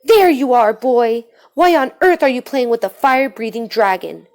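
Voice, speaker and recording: A woman voicing a pompous, bossy knight father in a children's storybook, loud and declaiming. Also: on the high side, expressive, moderate pace. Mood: scornful.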